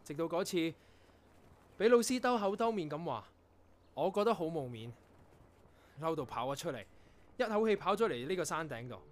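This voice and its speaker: A man acting in a Cantonese radio drama as an earnest, proud young composer, telling things in a reflective, measured way. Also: a little high, lively intonation, close to an everyday voice. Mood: agitated. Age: young adult.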